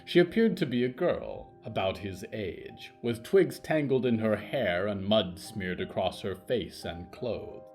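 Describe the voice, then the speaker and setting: A man narrates an atmospheric tale at a slow, deliberate pace. His pitch is lively and varied, and music plays faintly behind him. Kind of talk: scripted atmospheric story narration.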